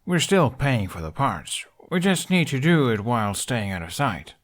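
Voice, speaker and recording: A man's voice: medium pitch, very animated, normal speed, pitched above the performer's own voice, lightly acted. A man voicing a sensible, cautious young man with a dry, reassuring manner in an audiobook story. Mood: earnest, dry. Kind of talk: audiobook character voice.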